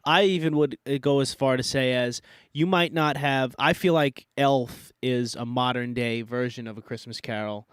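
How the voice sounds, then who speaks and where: A man's voice: mid-range voice, steady tone, normal speed, clean tone, dry recording, studio quality. A man co-hosting a live podcast, sounding nasal from a cold, talking casually with lots of fillers and restarts. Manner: rambling, many fillers and restarts. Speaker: podcast co-host. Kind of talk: casual debate chat.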